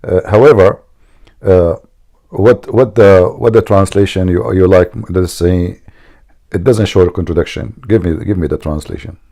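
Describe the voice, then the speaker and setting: Man, gravelly dark voice, mocking debate host, emphatic and repetitive. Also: deep voice, moderate pace. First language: Arabic. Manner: mocking, emphatic, repeats himself. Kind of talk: argumentative religious debate.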